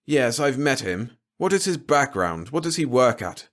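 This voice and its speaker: A man's voice: mid-range voice, very animated, normal speed, voice pushed up, natural voice. Man playing a blustering, stuffy middle-aged father. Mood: stern. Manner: blustering, pompous.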